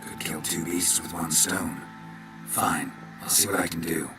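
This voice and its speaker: An actor playing a laconic, world-weary monster hunter in a fantasy game, terse and deadpan in delivery. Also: male voice, low-pitched, measured pace. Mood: resigned. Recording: game dialogue with music behind.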